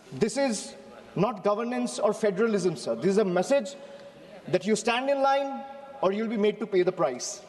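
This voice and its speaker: High-voiced Indian man giving an oratorical speech. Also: in a large hall. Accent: Indian English. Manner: oratorical, rhetorical repetition, measured emphasis. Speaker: member of parliament. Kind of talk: parliamentary speech. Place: large parliamentary chamber.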